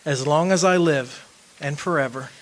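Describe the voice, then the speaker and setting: A man acting in a radio drama as a wistful, earnest man devoted to his lost young love, speaking in deliberate phrases. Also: a little high, slight background noise, natural voice. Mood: tender, regretful.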